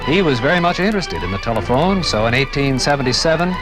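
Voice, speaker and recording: A man's voice: mid-range voice, lively intonation, fast, over music. A man with an American accent delivering scripted narration in the style of a 1950s radio documentary.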